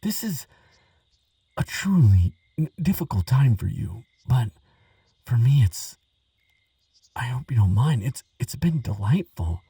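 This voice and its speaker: Husky, earnest archaeologist, acted by a man, lilting and unhurried. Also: medium pitch, very animated, dry recording, far from the mic, full character voice. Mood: gentle, sincere. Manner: stammering, musing, wonder-filled, gentle. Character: earnest, excitable archaeologist, kind to a monster friend. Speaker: audio roleplay performer. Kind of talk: relaxing scripted audio roleplay, spoken to the listener.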